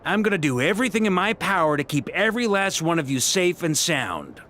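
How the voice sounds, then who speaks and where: A hearty knight commander in a fantasy game. The voice is forceful and swoops widely, awkward and informal yet earnest. Faint ambient noise sits behind it. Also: male voice, on the high side, sing-song, a little fast. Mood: earnest, determined. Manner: blustery, informal, hesitant then emphatic. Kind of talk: video game voice acting.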